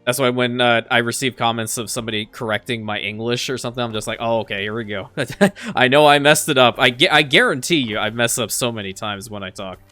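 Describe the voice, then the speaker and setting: A man's voice: medium pitch, fast, sharp tone, no room sound. A man whose first language is Farsi, chatting casually as he plays a city-building game, often repeating a word as he restarts a sentence. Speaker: let's play creator. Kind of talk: casual gameplay commentary.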